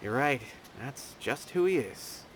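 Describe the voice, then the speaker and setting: A man's voice: medium pitch, expressive, measured pace, a little hiss or hum, close to an everyday voice, rough recording. A man voicing an earnest, anxious, loyal junior investigator in a video game, speaking expressively. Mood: grave, troubled. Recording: background music.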